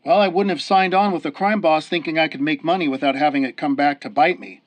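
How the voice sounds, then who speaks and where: Actor as sneering, higher-voiced hard-boiled cop. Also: male voice, fast talker, dull audio, natural voice. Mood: scornful. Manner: sarcastic, slangy, contemptuous. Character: cynical, sneering hard-boiled male cop, quick to accuse. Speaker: police interrogator. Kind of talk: 1930s gangster radio drama acting.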